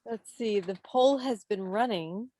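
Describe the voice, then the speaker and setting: A woman's voice: medium pitch, a little slow, mellow, no room sound. A woman hosting a quiz over a video call in a playful, teasing, theatrical way. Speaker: quiz host. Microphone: video call microphone.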